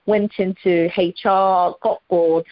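A woman's voice: fairly low pitch, fairly even intonation, slow pace, no echo. Guest: middle-aged woman, eager chat, muffled dry audio. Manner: enthusiastic, frequent fillers and restarts. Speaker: guest, former primary teacher. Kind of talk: casual interview chat.

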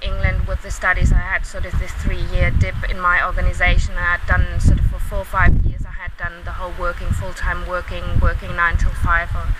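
A woman's voice: lowish voice, completely flat delivery, a little fast, few pauses. A woman in her late twenties from Germany, a native German speaker with a German accent, giving casual, reflective interview answers. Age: late 20s. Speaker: interview guest, volunteer lecturer. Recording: very noisy, distant mic, clicks.

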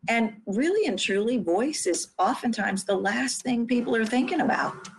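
A woman's voice: fairly high pitch, lively intonation, normal speed, a little hiss or hum, poor audio. A woman hosting a podcast interview, affirming her guest and often repeating and restarting her phrases. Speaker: podcast host.

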